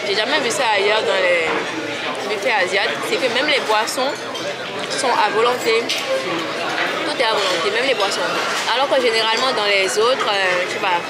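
A woman's voice: mid-range voice, normal speed. A young woman talking casually to the camera as a vlogger, chatty and enthusiastic, recorded on a clip-on mic in a car and a busy restaurant. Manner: chatty, enthusiastic, lots of fillers. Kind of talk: casual vlog chat to camera. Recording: vlog audio with loud music and crowd behind. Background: loud music and crowd chatter.

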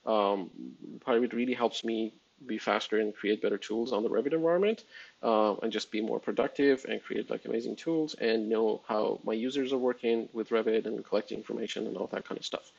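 A man whose first language is Farsi, walking through a software release in a relaxed, rambling way, with frequent fillers. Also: lowish voice, fairly quick, gravelly, dull audio. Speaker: software developer presenting a release. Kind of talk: casual screen-share walkthrough.

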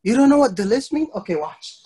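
A middle-aged man telling a playful story to children as their instructor, theatrical, with drawn-out emphasis and pauses. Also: very high voice, big pitch swings, warm tone, slightly rough. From an island country. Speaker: martial arts instructor telling stories to children. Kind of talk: playful interactive storytelling for kids. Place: indoor wood-panelled studio, on a video call.

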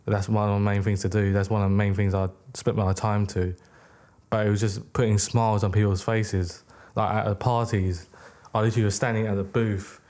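A young man born and raised in the UK talks as a podcast guest, his voice sitting a little low. He rambles and restarts his sentences often, correcting himself as he goes. He speaks close to a studio boom mic in a small room. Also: little pitch movement, a little fast, a little mellow. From United Kingdom. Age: young adult. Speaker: guest, record label founder. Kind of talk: casual interview. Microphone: boom mic on arm, headphones.

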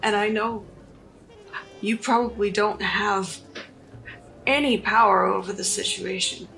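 Weary, creaky-voiced guarded woman played by a woman. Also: mid-range voice, steady tone, bright, forceful tone, slight background noise, low-quality recording. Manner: halting, sighing, dry sarcasm. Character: weary, guarded, sardonic woman patient in custody. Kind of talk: tabletop roleplay in character.

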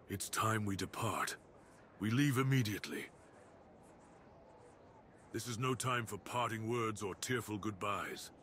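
A man's voice: lowish voice, bright, forceful tone, a little hiss or hum. A man voicing a proud, menacing dire wolf beast in an ASMR roleplay, speaking with grave, commanding, theatrical deliberation. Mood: threatening, commanding.

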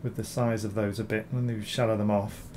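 A man, hoarse from recovering from illness, talking through a screen-recorded software tutorial, rambling with many ums and repeated words. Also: lowish voice, level tone, a little fast, noisy background. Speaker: bas-relief sculptor reviewing software.

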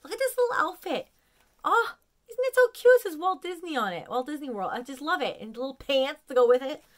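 A woman in her forties with an American accent, chatting casually and excitedly to camera in a room at home. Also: high voice, fairly quick. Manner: excitable, gushing. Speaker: vlogger showing a haul of collectibles. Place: small room at home, indoors.

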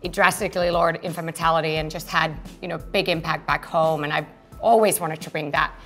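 A woman's voice: sits low, fairly even intonation, a little fast, fairly bright tone, faint music behind. A woman in her thirties, originally from Finland, talking through her company as a guest in an interview, fluent and earnest, in an office. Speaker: co-founder and guest. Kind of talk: business interview conversation. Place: open-plan office, indoors.

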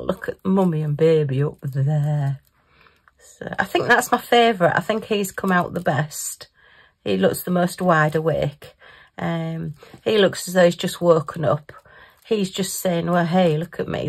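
A woman chatting casually in a crafting vlog, giggly and self-mocking, recorded at her home craft table. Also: fairly low pitch. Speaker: crafting vlogger.

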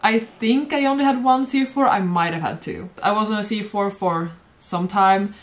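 A woman's voice: mid-range voice, normal speed. A young woman from Sweden chatting casually at home about her past modeling work, with frequent giggles. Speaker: former model, guest. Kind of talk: casual storytelling chat. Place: bright home room, seated on sofa.

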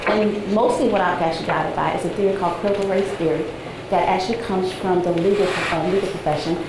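A woman in her forties giving an academic talk to a seated audience, soft-spoken and conversational with frequent ums, heard from a distant camera in a large seminar room. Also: lowish voice, fairly even intonation, fast talker. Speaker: guest lecturer. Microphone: far camera, no visible mic. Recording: echoey room, noisy background.

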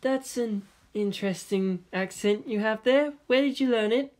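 A woman reading an audiobook, voicing a cool, exacting woman scientist in a flat, measured manner. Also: a little high, voice pushed up, lightly acted. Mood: polite, reserved. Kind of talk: audiobook character voice.

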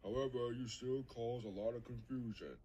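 A man voicing a stern but fair railway authority in a cartoon, measured and admonishing. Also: mid-range voice, fairly even intonation, lo-fi sound, very noisy, close to an everyday voice. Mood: stern, understanding.

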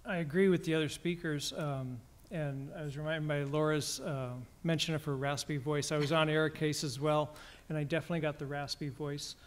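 A middle-aged man speaking on a conference panel, relaxed and good-humored, into a stand microphone. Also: fairly high pitch, brisk, few pauses. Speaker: patient panelist. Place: conference hall stage panel. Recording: long reverb of a conference hall.

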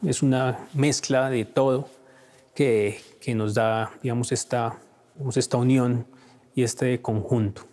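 A man's voice: mid-range voice, steady tone, unhurried, a little mellow. A Colombian man in his forties, a violinist speaking in an interview, thoughtful with frequent hesitations, recorded on a lapel mic in a concert hall.

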